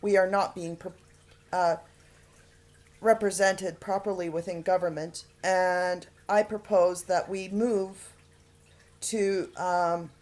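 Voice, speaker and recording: Halting earnest woman making an appeal. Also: fairly low pitch, steady tone, a little mellow. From Alberta, Canada. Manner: halting, many fillers and pauses; earnest, pleading. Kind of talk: unscripted appeal to camera.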